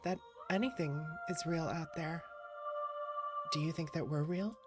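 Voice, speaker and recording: A woman's voice: deep voice, measured pace. A woman streaming a game, reading visual novel narration aloud and chatting casually with viewers. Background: game music.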